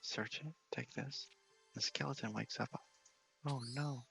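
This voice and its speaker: Hushed, creaky-voiced man doing gaming ASMR. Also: mid-range voice, steady tone, measured pace, faint music behind, low-quality recording. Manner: hushed, often near a whisper. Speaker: host of a gaming ASMR series. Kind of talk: ASMR game commentary, soft and casual.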